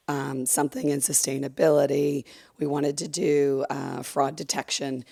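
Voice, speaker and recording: A woman giving a conference talk while losing her voice, hoarse and gravelly, with many fillers and restarts, speaking into a handheld microphone. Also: mellow. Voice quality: gravelly, low. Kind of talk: conference presentation. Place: conference room with audience.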